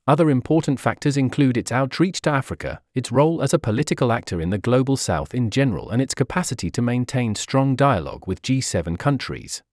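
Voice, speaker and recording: An older man from the Netherlands giving measured, formal answers as an interviewee. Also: mid-range voice, darkish tone, dry recording, studio quality.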